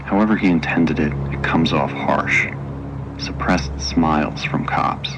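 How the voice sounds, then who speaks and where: A man narrating an audio play from a script in a terse, measured way. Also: rumbling low, creaky, noisy background. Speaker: narrator reading screenplay action lines.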